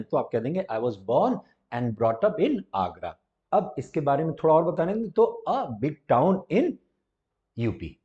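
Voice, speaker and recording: A man's voice: fairly high pitch, darkish tone, dull audio. Indian man teaching English in Hindi. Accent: Indian, Hindi-speaking. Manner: explains in Hindi, says model English sentences slowly and deliberately. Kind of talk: classroom-style spoken English lesson. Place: institute classroom or studio.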